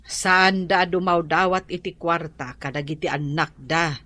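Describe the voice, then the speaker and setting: A woman's voice: lowish voice, steady tone, a little slow, pushed and bright. An actress playing a sensible, matter-of-fact woman in a radio drama.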